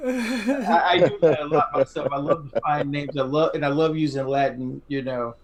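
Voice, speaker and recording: Halting man co-host, slightly high voice. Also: over a noisy crowd. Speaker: podcast co-host, interviewer. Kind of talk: casual chat.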